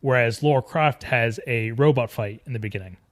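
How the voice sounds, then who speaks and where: A man with a mid-range voice speaks as a podcast co-host in relaxed, everyday language. His delivery is that of informal movie discussion among friends. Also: mid-range voice, a little fast.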